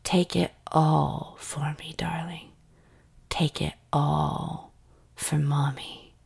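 Woman murmuring and whispering real slow, coaxing and doting, lots of vocal fry. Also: little pitch movement, a little mellow. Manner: breathy sighs and low murmurs. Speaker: audio roleplay performer. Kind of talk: intimate whispered audio roleplay.

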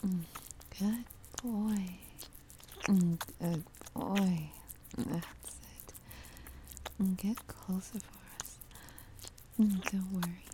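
A noisy recording with soft clicks carries a woman's mostly whispered voice. Her delivery is slow and drawn-out, breathy and soothing, with soft hums in an intimate adult ASMR style. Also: medium pitch, fairly even intonation. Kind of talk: sexually explicit whispered roleplay audio, ASMR.

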